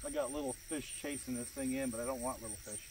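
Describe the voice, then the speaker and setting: Clear outdoor background noise with insects sits behind a man's voice. He speaks at an average pitch in a plainspoken, folksy manner. The delivery is casual fishing vlog commentary, recorded outside. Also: quick pace. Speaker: fishing vlogger.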